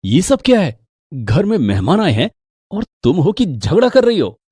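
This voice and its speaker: A man's voice: a little high, wildly swooping pitch, normal speed, mellow, breathy edge, no echo, studio quality, full character voice. A man playing the husband in a squabbling household, in scripted family-story dialogue. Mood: exasperated.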